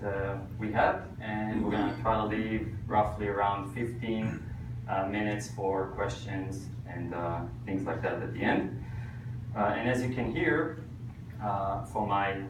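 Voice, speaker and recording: Israeli-accented man lecturing in an echoey hall. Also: lowish voice. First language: Hebrew. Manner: halting, many uh fillers and restarts, self-deprecating humor. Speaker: tour guide teaching a church group. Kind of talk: lecture with slides and jokes. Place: church hall with audience. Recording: echoey room, voice from a distance, noisy. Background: audience laughter, room ambience.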